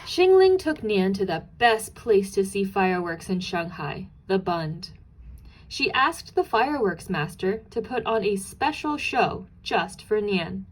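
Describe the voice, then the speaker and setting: A woman reads a children's story aloud at a slow, deliberate pace. Her pitch is middling, and she leans expressively on key and repeated words for dramatic effect. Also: big pitch swings, low-quality recording. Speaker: storybook narrator.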